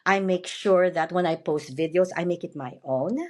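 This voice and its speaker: A woman's voice: lowish voice, moderate pace, darkish tone. A woman from the Philippines, hosting a podcast interview in a chatty, upbeat way. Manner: chatty and upbeat, often asks "you know what I mean". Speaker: podcast host and motivational speaker. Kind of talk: casual interview chat.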